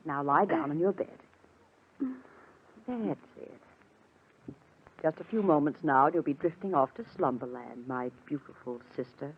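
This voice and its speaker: A woman's voice: mid-range voice, very animated, moderate pace, natural voice. An actress playing a jealous, coldly commanding young woman with a biting manner in old-time radio melodrama. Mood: coaxing, controlling. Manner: sharp, biting. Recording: muffled, noisy old radio recording.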